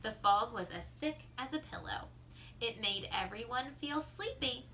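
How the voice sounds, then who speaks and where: A woman reading a children's story aloud like a teacher, expressively, drawing out words and dropping into hushed asides. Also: on the high side, animated, measured pace, slight background noise.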